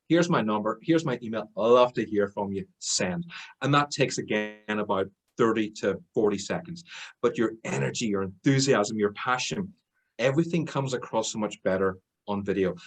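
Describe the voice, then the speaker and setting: A man's voice: lowish voice, a little fast, slightly rough, poor audio. A man with an Irish accent, talking energetically as a panelist in a sales webinar. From Ireland. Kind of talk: webinar talk.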